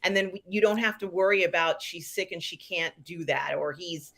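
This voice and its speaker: A woman's voice: lowish voice, moderate pace, creaky, fairly bright tone. A woman co-hosting a casual chat show, sharing her own takes in everyday conversation. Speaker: co-host.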